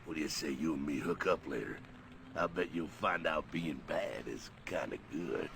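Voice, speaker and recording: Gravelly, hulking cocky brute villain. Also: male voice, extremely low pitch, normal speed, noisy background. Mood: leering. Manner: crude, taunting slang.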